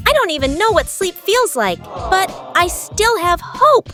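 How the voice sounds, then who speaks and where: A little long-suffering puppet in an extremely high, piercing voice. It is earnest and plaintive, with lively swoops and faint children's music behind. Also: female voice, a little slow, exaggerated voice. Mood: plaintive, hopeful. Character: Bubbly Bob, small soapy puppet, hopeful sufferer.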